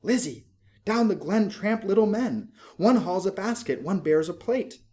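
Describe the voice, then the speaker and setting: A man's voice: high-pitched, expressive, measured pace, darkish tone, pitched above the performer's own voice. A man voicing a curious, rapturous young maiden in a storybook poem, full of wonder and coaxing. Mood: excited wonder.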